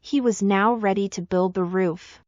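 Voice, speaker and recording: A woman's voice: medium pitch, moderate pace, darkish tone, dry recording, lo-fi sound. A woman reading a scripted children's fairy tale as the storyteller.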